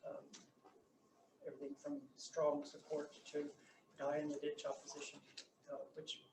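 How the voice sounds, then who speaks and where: A soft-spoken man with a New Zealand accent, giving a formal submission to a council hearing with many fillers and restarts. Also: fairly high pitch, completely flat delivery, normal speed. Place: council chamber. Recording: echoey room, distant mic, noisy.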